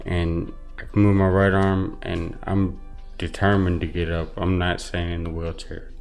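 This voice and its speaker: A man giving earnest personal testimony as an interview guest, speaking haltingly with some repeated words. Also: low-pitched, level tone, a little mellow, faint music behind. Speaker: interview guest, brain injury survivor.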